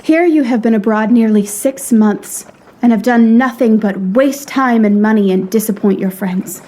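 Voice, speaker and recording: A woman's voice: on the high side, normal speed, dark tone, natural voice, rough recording. A woman playing a proud, frank young lady in a period audio drama, speaking in a refined, articulate and earnestly reproachful way.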